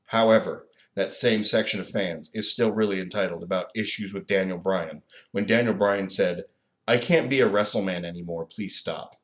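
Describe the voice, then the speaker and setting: A man co-hosting a podcast in casual chat, animated and profane, laughing often. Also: low-pitched, normal speed. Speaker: podcast co-host.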